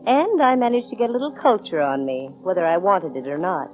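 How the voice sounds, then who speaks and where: A woman's voice: lowish voice, animated, brisk, natural voice. A woman playing a wry, flippant, breezy private eye heroine in 1940s radio drama style. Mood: wry storytelling. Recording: dull vintage 1940s radio recording.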